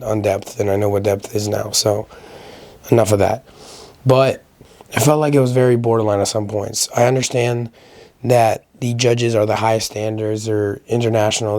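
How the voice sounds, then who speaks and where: A man hosting a casual solo video commentary, giving opinions conversationally, his voice a little raspy as he gets over a sickness. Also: lowish voice, fairly even intonation, moderate pace, a little mellow, no echo. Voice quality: slightly raspy. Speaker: host of a powerlifting recap channel.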